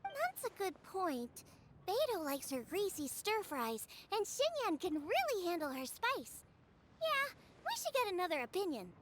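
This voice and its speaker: A woman's voice: extremely high pitch, wildly swooping pitch, measured pace, background noise, heavily acted. A woman playing an earnest, enthusiastic teenage girl chef in a video game, chatty and eager. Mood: friendly. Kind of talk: animated video game character acting. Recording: music behind the voice.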